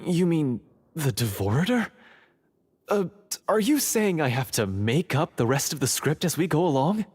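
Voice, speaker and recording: A man playing an earnest young repentant hunter in a video game, halting and a little theatrical. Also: fairly high pitch, very animated, darkish tone, slightly rough. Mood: puzzled, unsure. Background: game music.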